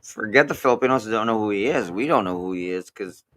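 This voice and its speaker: Low bright-voiced man, halting talk. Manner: halting, restarts often, blunt opinions. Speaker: co-host. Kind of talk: casual chat.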